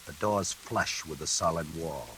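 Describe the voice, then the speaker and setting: An actor playing a sinister night elevator operator in a classic radio suspense drama, laconic and coolly insinuating. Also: male voice, extremely low pitch, unhurried, a bit bright, slightly rough, exaggerated voice. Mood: coolly ominous. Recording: hissy, noisy old radio recording.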